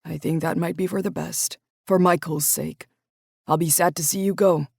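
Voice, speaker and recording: A woman voicing a stern male high school football coach who talks firmly and presses for answers, in an audiobook. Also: steady tone, normal speed, gravelly, darkish tone, slightly rough, dry recording, studio quality. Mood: kind. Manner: firm, pressing, authoritative. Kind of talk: audiobook character voice.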